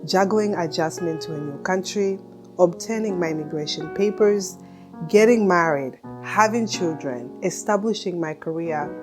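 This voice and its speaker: A woman reading a scripted sponsor message in a smooth, even way. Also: low voice. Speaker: podcast host reading a sponsor ad. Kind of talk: scripted ad read. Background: music.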